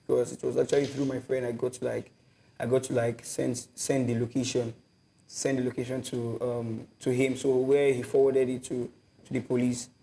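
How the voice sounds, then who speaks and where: A man's voice: medium pitch, creaky, warm tone, slight background noise. A seventeen-year-old young man recounting his ordeal in an interview, repeating himself as he goes. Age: teenager. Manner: repetitive, emotional recounting. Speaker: rescued youth interviewee.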